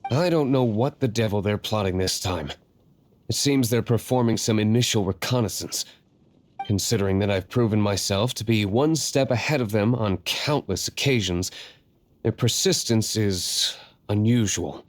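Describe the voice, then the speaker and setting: A man's voice: fairly low pitch, normal speed, close to an everyday voice. An actor playing a brooding, aloof young nobleman and secret vigilante in a video game, formal and curt. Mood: cool, disdainful.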